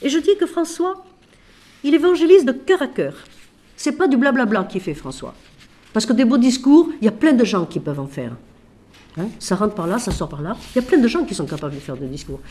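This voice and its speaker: A woman, a Franciscan sister and author, talks with feeling about her book, swinging from emphatic questions to quiet asides while she has a cold. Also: on the high side, fairly quick. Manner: expressive, shifting from loud emphasis to quiet asides; asks rhetorical questions.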